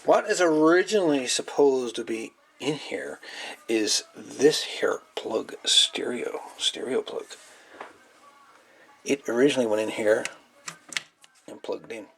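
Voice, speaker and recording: A man's voice: mid-range voice, slow pace, vocal fry, pauses often, fairly bright tone. A man explaining a hands-on repair casually and matter-of-factly, off the cuff, at an indoor workbench. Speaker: hobbyist radio repairer, presenter. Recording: noisy amateur camera recording.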